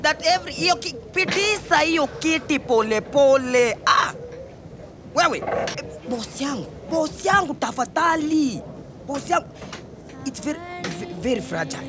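A woman with a Kenyan accent playing a hurried, bossy passenger hauling furniture onto a train. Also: sits high. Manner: hurried, bossy, mixes English and Swahili. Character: flustered adult passenger hauling furniture aboard.

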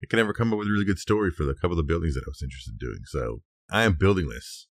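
A man's voice: deep voice, expressive, racing, gravelly, no room sound, clean studio sound. A man co-hosting a podcast in casual chat, with a wry, understated way of putting things. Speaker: podcast co-host.

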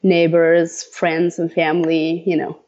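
A woman's voice: low voice, monotone, measured pace, a little mellow, muffled recording. A woman in her thirties, born in Ethiopia, chatting casually as an interview guest with frequent laughs and many fillers. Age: 30s. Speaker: guest, runner.

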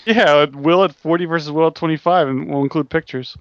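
A man's voice: fairly high pitch, brisk, dry recording, highs cut off. A man in his forties co-hosting a podcast, jumping in with teasing quips in casual chat. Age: 40s. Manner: interjecting, teasing. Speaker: podcast co-host.

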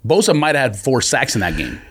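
A man's voice: mid-range voice, big pitch swings, brisk, a bit bright, slightly rough. A man co-hosting a casual sports podcast, arguing his points in slangy talk and often jumping in. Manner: argumentative, slangy, frequently interjecting.

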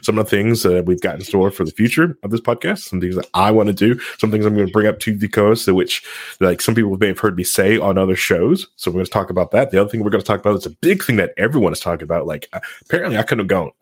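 A man's voice: on the low side, fast talker, breathy edge, dry recording. A man hosting a casual podcast, talking in a rambling, jokey way. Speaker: podcast host.

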